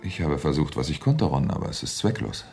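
An actor playing a smooth, evasive husband who schemes over money in a radio crime drama. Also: male voice, a bass voice, sing-song, brisk, music in the background, exaggerated voice. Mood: tense, scheming.

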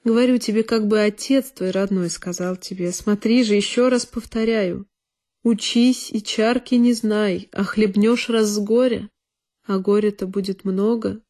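A woman's voice: medium pitch, fairly even intonation, a little slow, dark tone, lightly acted. A woman voicing a sentimental, stubborn male landowner in an audio play, earnest, insistent and fatherly. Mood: fatherly warning. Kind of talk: dramatic literary monologue in audio play.

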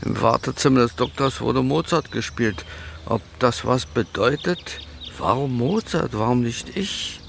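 An actor playing an addled, aggrieved composer in a radio drama, woozy from medication and rambling erratically from one question to the next. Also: male voice, mid-range voice, animated, unhurried. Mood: puzzled, rambling. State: woozy from pills and injection. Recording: clear background noise, outdoor ambience.

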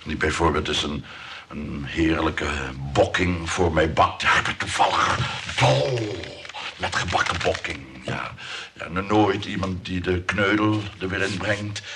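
An actor in a comic radio play voicing a lonely, fussy shopkeeper who rambles hesitantly and repeats himself. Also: male voice, sits low, measured pace. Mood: plaintive. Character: lonely, fussy shopkeeper shyly courting a nurse. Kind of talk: comic radio drama acting. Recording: noisy old radio play recording.

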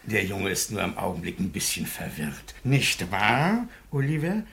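Pompous, gravelly parish beadle. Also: male voice, low voice, wildly swooping pitch, a little slow, fairly bright tone, exaggerated voice. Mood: bullying. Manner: officious, self-important. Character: pompous, bullying parish beadle, fawning to superiors. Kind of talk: 1950s German radio drama acting.

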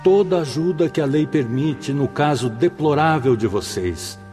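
A man's voice: on the high side, unhurried, mellow, close to an everyday voice. An actor playing a fatherly, solemn spirit mentor who teaches formally and measuredly in a radio drama. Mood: solemn, instructive. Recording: long reverb, music behind.

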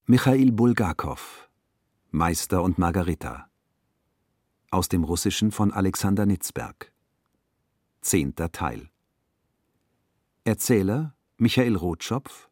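A male announcer speaks in a formal, factual radio style. His voice is low and measured, with slow pacing and a dry, close sound. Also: a little mellow, no room sound.

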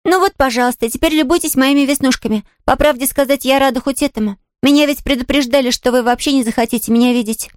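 A high, bright-spirited little girl acted by a woman reading an audiobook. She chatters eagerly and courteously, with artless good cheer. The sound is close and dry. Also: quick pace, few pauses, no room sound, lightly acted. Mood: artless, chatty. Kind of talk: audiobook character voice.

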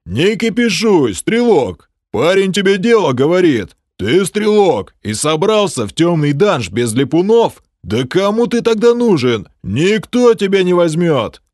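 A man's voice: sits high, big pitch swings, measured pace, hardly any pauses, a little mellow, no echo, clean studio sound, pitched above the performer's own voice. A man putting on a roaring bossy clan warrior. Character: gruff male clan warrior, domineering.

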